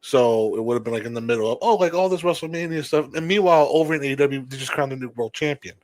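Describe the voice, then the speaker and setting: Guy on a wrestling podcast, casual and opinionated, medium pitch, really dry close audio. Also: quick pace, a little mellow, no echo. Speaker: podcast co-host.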